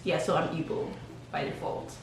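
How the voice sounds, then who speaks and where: A woman's voice: normal speed, a little hiss or hum. A young woman from Lagos, chatting casually and playfully as she answers questions about herself for a vlog. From Lagos, Nigeria. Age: young adult. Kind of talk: casual chat. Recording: echoey room, distant mic, background beat.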